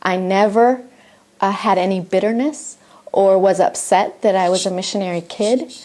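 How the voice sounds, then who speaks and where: A woman's voice: medium pitch, fairly even intonation, moderate pace, dark tone. A middle-aged woman from Argentina, a native Spanish speaker, telling her personal faith story in an earnest, reflective way with some restarts. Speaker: missionary. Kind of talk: personal testimony.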